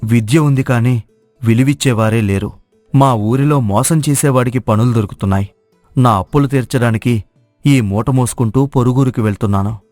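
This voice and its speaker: A man's voice: mid-range voice, moderate pace, a little mellow, no room sound, natural voice. A man playing a humble, kind-hearted village cook in a moral story, speaking earnestly and plainly. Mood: humble, sincere. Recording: dry studio voice over music.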